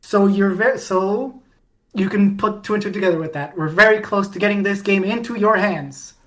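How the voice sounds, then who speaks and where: A woman's voice: mid-range voice, moderate pace. A woman guest on a podcast, chatting playfully and with enthusiasm about her fan game. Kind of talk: casual podcast chat.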